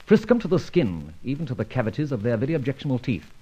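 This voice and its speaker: An actor playing a suave, debonair gentleman adventurer in an old radio serial, urbane, wordy and teasing in a mock-formal way. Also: mid-range voice, sing-song, quick pace, husky, dull audio.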